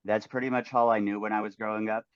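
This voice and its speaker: A man's voice: on the low side, level tone, quick pace, no echo, lo-fi sound. A man, speaking as an interview guest in a friendly, easygoing way. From Mexico. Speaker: guest painter. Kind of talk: relaxed interview talk.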